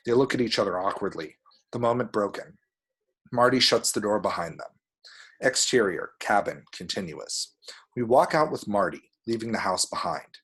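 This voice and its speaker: A man's voice: on the low side, expressive, moderate pace, fairly bright tone, husky. A man reading scripted screenplay action descriptions as the narrator of a table read. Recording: home recording.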